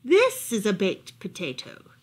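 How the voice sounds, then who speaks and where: A woman voicing a wise old male owl from a storybook, firm, deliberate and stern but kindly. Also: mid-range voice, wildly swooping pitch, slight background noise. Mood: firm, knowing.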